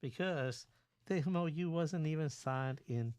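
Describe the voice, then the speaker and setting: A man's voice: a little high, measured pace, a little mellow, clean tone, no echo. A man co-hosting an advocacy talk show, casual and folksy with joking asides. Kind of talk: casual discussion.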